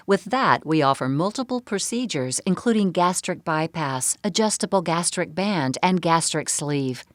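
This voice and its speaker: A woman giving a scripted, measured explanatory narration for a medical seminar. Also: fairly low pitch, lively intonation, no echo, studio quality. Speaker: presenter of a bariatric surgery program.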